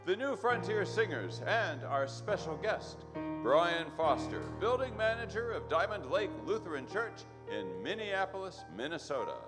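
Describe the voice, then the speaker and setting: A man's voice: high-pitched, big pitch swings, normal speed, big-room sound, music in the background. A man playing a folksy, pompous announcer on an old-time church radio show, with flowery phrasing. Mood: grand, announcing.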